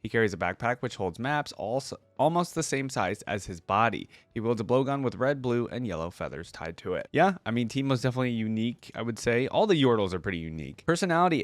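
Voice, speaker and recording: A man's voice: medium pitch, no echo. Casual man reacting, in a dry recording. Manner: chatty, thinking aloud with asides to viewers. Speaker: reaction video host. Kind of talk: casual reaction commentary, reading trivia aloud.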